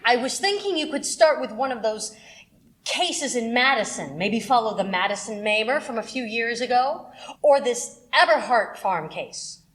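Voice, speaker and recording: A woman playing a brisk, persuasive radio station manager in an audio drama, casual and confident. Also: fairly high pitch, animated, fairly bright tone. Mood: breezy.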